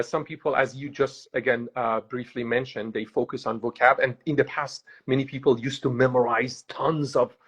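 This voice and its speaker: A man's voice: mid-range voice, fairly bright tone, slightly rough. A man hosting an interview, asking long questions with many filler sounds and restarts, in a dull lo-fi recording. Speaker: host.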